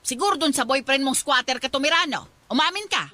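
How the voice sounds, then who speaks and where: A woman's voice: high-pitched, expressive, bright, forceful tone, no room sound. A woman playing an angry, scolding mother in a radio drama, berating and accusing her daughter. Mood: scolding, suspicious. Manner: accusing, berating, rapid questions. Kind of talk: Filipino radio drama acting.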